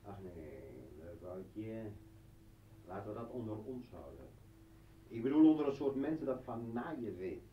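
A volatile, sardonic militant poet in a radio drama. He taunts slowly and theatrically, with big swings in pitch. The recording is dull, set back in an echoey room. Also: lively intonation, recorded from a distance, a little hiss or hum. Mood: mocking. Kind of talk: Dutch radio play acting.

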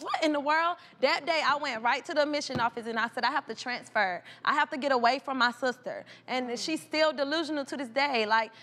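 A young woman talk show guest tells her story with indignant energy. Her voice is high and sharp, and it rings out with long reverb in a big studio. Her phrasing is colloquial and emphatic. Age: young adult. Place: large TV studio with audience. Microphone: clip-on lapel mic.

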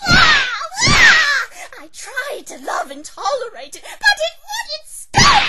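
Cartoon lady pony, very high voice, extremely animated, posh affectation, vain, theatrical. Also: takes it slowly, raised voice, exaggerated voice. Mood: hysterical outrage. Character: refined, dramatic lady unicorn pony, vain and easily outraged. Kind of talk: fan-dub visual novel character acting.

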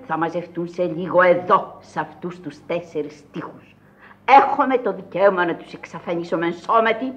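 An actress playing an eccentric, imperious old countess, declaiming theatrically in a radio play. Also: female voice, medium pitch, close to an everyday voice. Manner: theatrical, commanding, solemn declaiming. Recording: dull, lo-fi archival radio recording.

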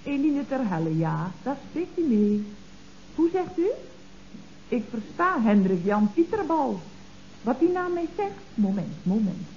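An old, muffled radio comedy recording with some noise. a brisk office secretary, a woman with a fairly high, animated voice and a teasing, mock-polite manner. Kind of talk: 1970s Dutch radio comedy acting.

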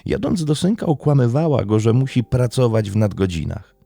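A man narrating a scripted true-crime documentary in a measured, serious way. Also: mid-range voice, very animated, creaky, warm tone, no room sound.

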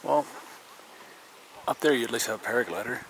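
A man's voice: mid-range voice, brisk, fairly bright tone, slight background noise, low-quality recording. A man in his thirties making dry, offhand remarks off to the side on an outdoor mountain trail. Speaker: travel vlogger. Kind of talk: casual travel vlog.

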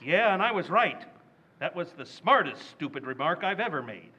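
An actor playing a loud, indignant working-class family man in a radio play. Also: a little high, big pitch swings, moderate pace, breathy edge, full character voice. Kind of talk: old-time radio drama acting. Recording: dull lo-fi recording.

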